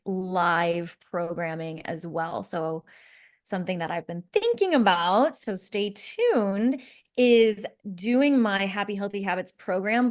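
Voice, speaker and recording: Bubbly woman in her thirties, chatty. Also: mid-range voice. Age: 30s. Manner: bubbly, laughs often, rambling restarts. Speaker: podcast co-host. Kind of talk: casual podcast chat. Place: San Diego.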